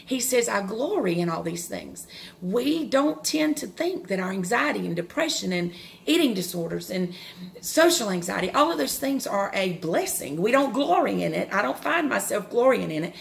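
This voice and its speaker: A woman in her forties with a loud, carrying voice, giving a personal devotional talk, earnest and open, with pauses and restarts. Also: mid-range voice, very animated, vocal fry. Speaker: devotional speaker.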